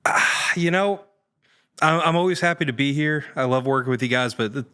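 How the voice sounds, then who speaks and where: A man's voice: on the high side, brisk, bright, forceful tone, smooth. Man chatting casually as a podcast guest. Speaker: guest voice actor.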